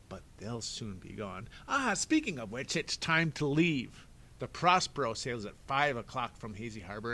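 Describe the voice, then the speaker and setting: A man voicing a sneering, scheming villain who talks with mock politeness and drawn-out, theatrical menace. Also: mid-range voice, bright, forceful tone, slight background noise.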